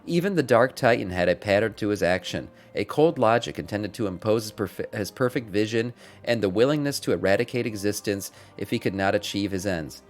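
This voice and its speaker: A man's voice: lowish voice, lively intonation, a little fast, few pauses, faint music behind, lightly acted. A man reading aloud the game dialogue of a grave, ominous orc in a solemn, portentous manner. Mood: grave warning. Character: grave, ominous male orc warning of cosmic schemes.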